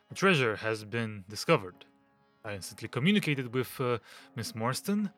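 Man acting a fussy, swooping gentleman hypochondriac. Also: medium pitch. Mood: brisk, self-important. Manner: halting, hesitant, formal and fussy with throat-clearing. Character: fussy, frail, self-important English gentleman hypochondriac. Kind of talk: audio drama acting.

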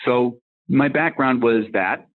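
A man's voice: medium pitch, slow pace. A man in his fifties with a Massachusetts accent, a tech executive telling his career story in a rambling, casual way that drifts into little acted-out bits of dialogue. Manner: rambling, acts out dialogue in anecdotes. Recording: phone-like narrow line.

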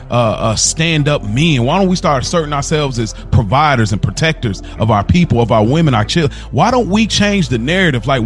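A young man co-hosting a podcast in casual chat, telling stories in an animated way and laughing often. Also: a little high. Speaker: podcast co-host.

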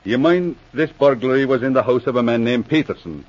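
A man's voice: mid-range voice, brisk, natural voice. Man playing old Irish lawman, grumbling and sly, folksy blarney, 1940s radio drama, dull vintage recording. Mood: calm, sly. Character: grumbling, sly, nostalgic old Irish-born police veteran.

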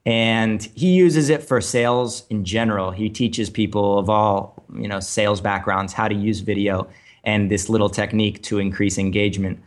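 A man with a somewhat low voice co-hosting a relaxed podcast chat. He talks through data and tools in a methodical, explanatory way, often restarting with ums. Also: normal speed. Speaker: podcast co-host. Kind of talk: casual chat.

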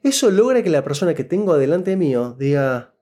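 A man from Buenos Aires with an Argentine Spanish accent, an interview guest telling a story and giving job-interview advice in an animated, explanatory way that keeps checking in with the listener. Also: on the high side, a little fast. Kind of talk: casual conversational storytelling.

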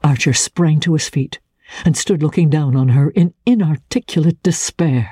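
A woman with a low, husky voice giving a dramatic narration of a classic novel as an audiobook reader. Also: moderate pace, mellow, no room sound, studio quality. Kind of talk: dramatic scripted literary narration.

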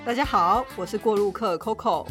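A woman's voice: medium pitch, moderate pace, over music. A woman with a Taiwanese Mandarin accent, chatting casually as a podcast co-host. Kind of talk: casual chat.

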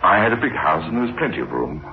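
An actor playing an anxious, protective middle-aged bachelor guardian in an old radio drama, with a hesitant, earnest, brooding delivery. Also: male voice, low-pitched, big pitch swings, fast talker, very noisy. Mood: brooding, confessional. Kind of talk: 1960s radio drama acting with confessional narration. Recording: dull old radio recording with music.

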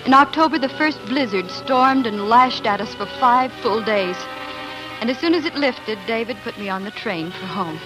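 A woman's voice: a little high, animated, normal speed, over music, lightly acted, poor audio. An actress playing a young homesteader wife and mother in a 1940s radio drama, narrating in an earnest, wistful, dramatic way. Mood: earnest narration. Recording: 1940s radio broadcast recording.

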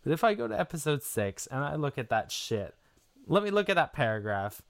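A man's voice: a little high, very animated, a little fast, vocal fry. A man speaking as the interviewer in a casual, conversational hosting style. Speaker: interviewer, series creator.

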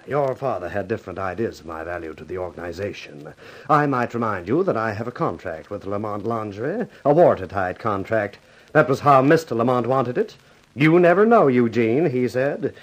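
Pompous, swooping stuffy business manager. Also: male voice, on the low side, poor audio. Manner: pompous, formal, disapproving. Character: stuffy, indignant conservative general manager of a business. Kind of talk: 1950s radio drama acting.

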